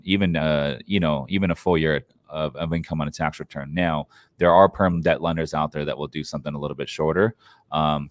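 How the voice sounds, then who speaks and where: Very deep-voiced man giving investing advice. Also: dry recording. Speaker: podcast guest, real estate investor. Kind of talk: conversational interview answers on investing.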